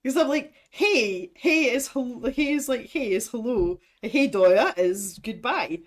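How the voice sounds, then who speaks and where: A woman's voice: a little high, lively intonation, a little slow. A woman in her thirties, chatting casually on a livestream, rambling and laughing as she reads out product blurbs. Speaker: art supplies streamer. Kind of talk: casual unboxing livestream chat.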